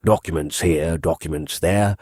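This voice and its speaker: A man's voice: sits low, darkish tone, no echo, studio quality. A man voicing a chatty, humble old civil servant who rambles in a chummy, folksy way, as in an audiobook monologue. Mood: reminiscing. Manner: rambling, chummy, drawn-out delivery.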